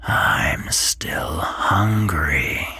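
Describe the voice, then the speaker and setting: Man voicing a slow whispering shadow monster, hungry. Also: extremely low pitch, wildly swooping pitch, creaky, pushed and bright, slightly rough, faint music behind, heavily acted. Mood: menacing. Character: hungry shadowy mold monster, taunting and menacing.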